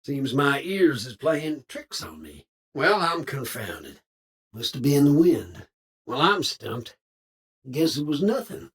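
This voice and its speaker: An actor voicing a folksy, old-time cowboy security robot for a video game, with an American Western drawl and colorful frontier slang. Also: male voice, medium pitch, sing-song, slow, husky, heavily acted. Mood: puzzled. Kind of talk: video game character voice lines.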